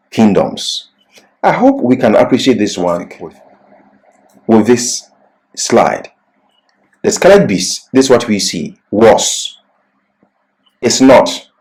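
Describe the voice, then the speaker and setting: A man giving a scripted Bible lecture as a teacher, with deliberate, emphatic phrasing and pauses for explanation. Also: medium pitch, darkish tone, rough recording.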